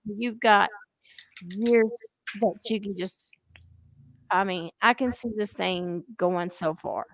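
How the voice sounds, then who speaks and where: A woman's voice: mid-range voice, slow pace, vocal fry, no room sound. A woman hosting a casual live-stream interview with a band, chatty and gushing, laughing often and trailing off mid-sentence. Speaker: podcast host interviewing a band.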